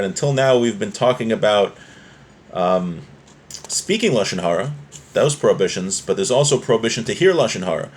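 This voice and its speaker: A man's voice: fairly low pitch, a little hiss or hum. Man teaching an informal religious class. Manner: explanatory, often checking with "right?". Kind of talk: informal religious class, reading Hebrew text and explaining it.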